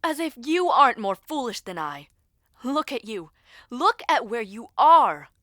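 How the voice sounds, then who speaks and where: A woman's voice: a little high, sing-song, unhurried, fairly bright tone, dry recording, clean studio sound, voice pushed up. A woman voicing a proud, hot-headed boy prince in an audio story, scornful and challenging. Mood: furious.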